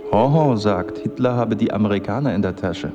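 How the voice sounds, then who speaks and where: An actor playing a courteous, inquisitive wanderer with a formal manner in a literary radio drama. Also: male voice, fairly low pitch, a little fast, lo-fi sound, music in the background, natural voice. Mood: curious, courteous. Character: courteous, curious, pondering male wanderer.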